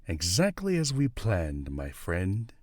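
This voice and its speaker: Man acting a deep-voiced scheming villain. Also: wildly swooping pitch, slow, noisy background, heavily acted. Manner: composed, scheming. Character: calculating, self-assured male villainous conspirator. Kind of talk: comic book dub acting.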